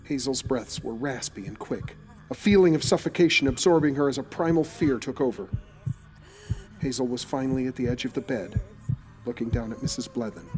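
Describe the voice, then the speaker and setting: A man's voice: mid-range voice, a little fast, a little mellow, soft background music, low-quality recording. A man narrating a scripted audio horror drama in a suspenseful, dramatic storytelling manner.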